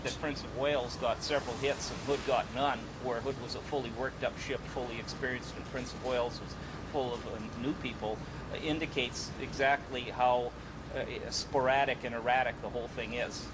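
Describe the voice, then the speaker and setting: A man speaking as an expert interviewee, giving measured, analytical commentary in an unscripted way. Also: medium pitch, steady tone. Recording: loud outdoor engine-like background noise.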